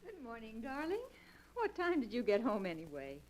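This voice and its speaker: A gentle, tender young wife. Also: female voice, a little high, huge pitch swings, moderate pace. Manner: soft, affectionate, reassuring. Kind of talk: 1940s radio acting.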